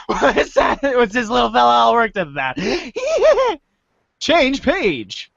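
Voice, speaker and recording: A playful male podcast host reads a comic aloud in a high voice that swings widely in pitch. He takes his time and trips over the odd word. The audio is dry and a little dull. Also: huge pitch swings, extremely slow, gravelly, fairly bright tone, no echo. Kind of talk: informal group read-aloud of a comic.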